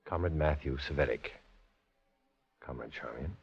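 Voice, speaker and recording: An American actor in a 1950s radio drama playing a cool, guarded undercover agent with a clipped, measured, insinuating delivery. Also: male voice, extremely low pitch, creaky, breathy edge, exaggerated voice. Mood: cool, businesslike. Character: cool, guarded male undercover agent posing as Communist blackmailer. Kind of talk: 1950s American radio drama acting with first-person narration. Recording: dull, lo-fi old radio recording, music behind.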